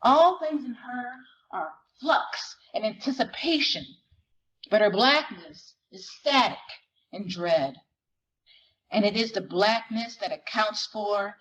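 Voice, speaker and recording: A woman reading literary fiction dramatically, in measured, deliberate phrases with weighted pauses. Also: mid-range voice, low-quality recording. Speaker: dramatic reader at a library performance. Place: live reading at a library event.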